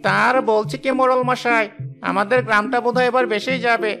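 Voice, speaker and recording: A frightened older villager begging the headman for help, played by a woman. His voice is gravelly and flat. Music sounds clearly behind him in this cartoon. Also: fairly high pitch, brisk. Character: elderly male villager, frightened, pleading.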